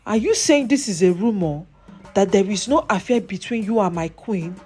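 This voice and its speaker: A commanding, wrathful king from a folktale, played by a woman storyteller. He declaims his orders imperiously, with lively emphasis. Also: medium pitch, normal speed, slightly rough. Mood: demanding. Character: enraged, commanding male village king in a folktale. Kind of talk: folktale character voice.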